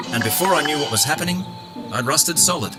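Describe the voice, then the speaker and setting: A man's voice: fairly high pitch, animated, brisk, music in the background, natural voice. A man playing a gloomy, curt tin woodman in an audio drama, dry, weary and self-deprecating.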